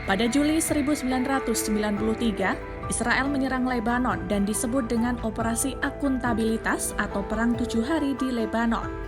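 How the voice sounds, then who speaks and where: A woman with an Indonesian accent reads a scripted news explainer in a formal, measured manner. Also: a little high. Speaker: news narrator. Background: background music.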